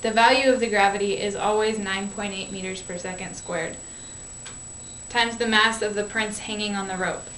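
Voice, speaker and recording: A woman's voice: mid-range voice, steady tone, normal speed, fairly bright tone. Female educational narrator, scripted read, echoey amateur recording with faint noise. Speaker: physics explainer. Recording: roomy, distant, noisy amateur recording.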